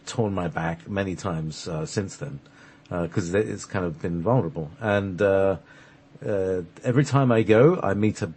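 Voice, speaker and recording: Husky-voiced man chatting ramblingly. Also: on the low side. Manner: rambling, hesitant, jokey. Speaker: radio show host. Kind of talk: casual conversational storytelling.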